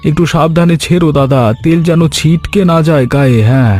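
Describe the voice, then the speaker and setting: A man voicing an earnest, caring village husband and farmer in a Bengali cartoon story, with a sentimental, measured delivery. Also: fairly high pitch, darkish tone, soft background music, natural voice. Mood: careful, instructing. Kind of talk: Bengali animated moral story dialogue.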